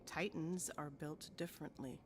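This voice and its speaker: Woman acting a low-voiced, composed female commander. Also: steady tone, a little hiss or hum, lightly acted. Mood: calm, firm. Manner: measured, firm, dryly wry. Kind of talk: dramatic audio drama acting.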